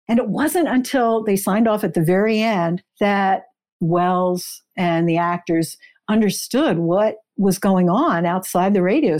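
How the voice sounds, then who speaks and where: A woman's voice: medium pitch, darkish tone. A woman speaking as a guest author in a conversational interview, explaining history in an emphatic way.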